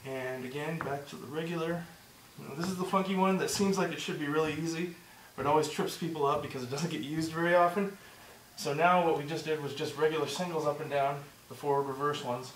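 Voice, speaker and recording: A man teaching a movement drill step by step, encouraging and repeating himself, slightly hoarse and short of breath. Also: fairly high pitch, brisk, hardly any pauses, echoey room, far from the mic, slight background noise, poor audio. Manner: encouraging, repeats "just like that" and "good". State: losing his voice, a bit out of breath. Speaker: instructor. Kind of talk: step-by-step demonstration lesson.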